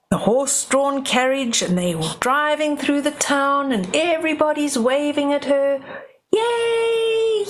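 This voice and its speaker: Older woman, children's read-aloud, fairly high voice, slow pace, expressive, chatty asides, faint noise behind. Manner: expressive, exclaiming over the pictures. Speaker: children's storybook reader.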